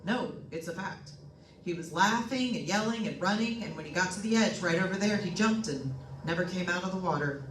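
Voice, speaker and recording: A woman's voice: fairly low pitch, animated, a little fast, natural voice. Echoey, folksy real estate man played by a woman. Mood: grave, matter-of-fact. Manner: folksy, matter-of-fact storytelling. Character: plain-talking, skeptical male real estate agent. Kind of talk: old-time radio drama acting. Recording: echoey room, distant mic, faint noise.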